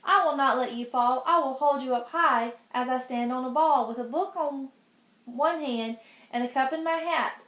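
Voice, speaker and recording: High-pitched, playful cat voiced by a woman. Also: moderate pace, fairly bright tone. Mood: reassuring. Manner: exuberant, sing-song rhyming patter. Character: playful boastful male talking cat, showing off tricks. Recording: roomy echo.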